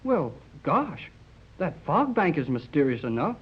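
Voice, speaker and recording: An actor playing an eager, excitable boy in a 1930s radio adventure serial, earnest and full of boyish exclamations. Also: fairly high pitch, a little slow, slightly rough, exaggerated voice. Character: eager excitable boy, young sidekick aboard a yacht. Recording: dull lo-fi 1930s radio recording.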